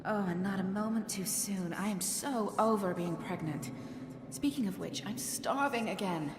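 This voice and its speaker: Tender young mother over loud noise woman acting. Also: mid-range voice, moderate pace, close to an everyday voice. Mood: wry. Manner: tender, intimate. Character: loving, anxious young mother, softly earnest. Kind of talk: video game cutscene acting. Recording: roomy, loud background ambience.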